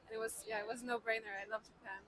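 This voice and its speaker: A woman's voice: fairly high pitch, little pitch movement, racing. A young woman from Poland on a student exchange, talking enthusiastically and emphatically. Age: young adult. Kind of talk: casual street interview. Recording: faint street noise.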